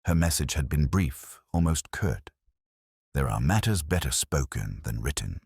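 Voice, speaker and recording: A man reading a scripted first-person detective story in a measured, reflective, literary manner. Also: a bass voice, big pitch swings, vocal fry, a little mellow, breathy edge, dry recording, studio quality. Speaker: narrator.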